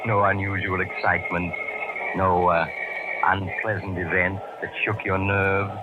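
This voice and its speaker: A suave, macabre host of eerie tales. The voice is low and deliberate, old radio mystery style. It comes through thin and tinny under loud noise. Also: male voice, little pitch movement. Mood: eerie, inviting. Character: sinister, inviting male traveler hosting eerie tales. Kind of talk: 1940s radio mystery narration.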